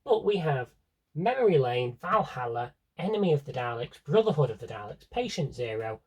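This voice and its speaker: Husky-voiced man chatting off the cuff. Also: medium pitch, sing-song, moderate pace, a little mellow, poor audio. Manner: self-interrupting, wry, thinks aloud. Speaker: collector showing his audio drama CDs. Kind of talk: casual rambling vlog chat.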